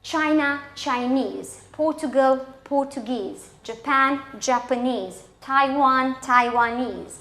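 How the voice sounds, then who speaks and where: High-pitched Romanian-accented woman teaching English, echoey. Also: slow, hardly any pauses, sharp tone. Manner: didactic, enunciates example sentences. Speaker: English teacher presenting a televised lesson. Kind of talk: scripted lesson for schoolchildren. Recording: echoey, roomy sound.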